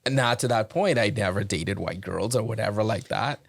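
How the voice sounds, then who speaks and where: A man's voice: on the low side, a little fast, vocal fry, no room sound. A man from the Bahamas hosting a casual podcast chat, asking careful, hedged questions and telling stories with laughs. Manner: thoughtful, hedging questions, laughs through stories. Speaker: podcast host.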